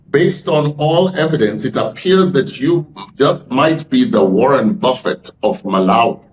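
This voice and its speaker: A man's voice: mid-range voice, rough recording. Articulate, emphatic male podcast host. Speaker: podcast host, leadership scholar. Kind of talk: scripted podcast introduction and interviewing.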